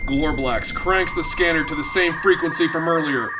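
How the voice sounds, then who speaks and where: A man's voice: a little high, moderate pace, very noisy, close to an everyday voice. A man playing a melodramatic storyteller narrating a sci-fi comedy radio drama. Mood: wry, dramatic. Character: dramatic male storyteller of space adventures.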